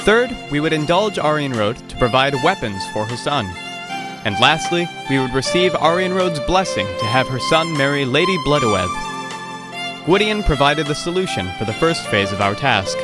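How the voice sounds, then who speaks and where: A man's voice: fairly high pitch, sing-song, moderate pace. A man playing a theatrical bard, narrating a folk-tale quest in a declamatory storytelling way. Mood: measured storytelling. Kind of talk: scripted narration and rhymed declamation. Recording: music loud behind the voice.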